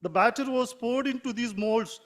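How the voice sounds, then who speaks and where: Indian English man, high-pitched, native Konkani speaker, measured formal reading with small self-corrections. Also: fairly bright tone. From Mangalore, India. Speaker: writer giving a talk. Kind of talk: formal prepared speech.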